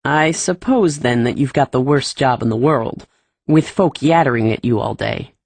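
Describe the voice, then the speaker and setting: Blunt young male gardener, put on by a woman, low husky tone, terse folksy delivery. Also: normal speed, darkish tone, dry recording, full character voice. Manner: terse, plain-spoken, clipped folksy words.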